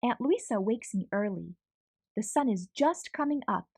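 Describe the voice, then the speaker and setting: Young woman, children's read-aloud, expressive, lively pitch, bright-ish tone, dry close sound. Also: normal speed, slightly rough, dry recording. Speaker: storyteller.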